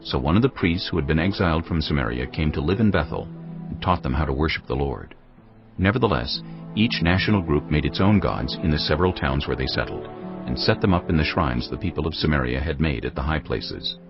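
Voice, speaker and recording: A man narrating dramatised scripture from a script in a grave, measured, dramatic manner. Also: extremely low pitch, expressive. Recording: dull audio, music underneath.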